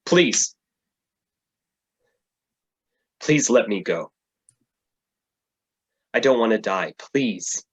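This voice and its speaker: A man playing a self-righteous captive superhero in a staged play reading, with a husky voice. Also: fairly low pitch, animated, slow pace, heavily acted. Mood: pleading. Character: captive self-righteous male superhero, pleading for his life.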